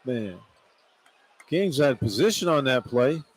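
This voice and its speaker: A man's voice: medium pitch, lively intonation, takes it slowly. A man giving informal, opinionated color commentary and analysis on a live radio basketball broadcast. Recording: noisy live arena broadcast. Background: arena crowd ambience.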